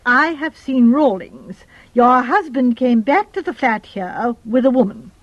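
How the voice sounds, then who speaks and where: High-pitched, bossy older English mother. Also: animated, measured pace, dry recording, highs cut off. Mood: grave, knowing. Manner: imperious, scolding, clipped orders. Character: bossy, impatient older mother and domineering wife. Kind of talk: stage farce acting for radio.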